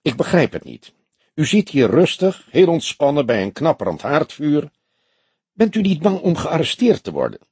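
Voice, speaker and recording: A man reading as a formal older detective, lively pitch. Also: breathy edge, no echo, heavily acted. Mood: probing, pointed. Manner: old-fashioned formal, measured, deliberate. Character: grey-haired veteran police detective, formal, probing, dryly ironic.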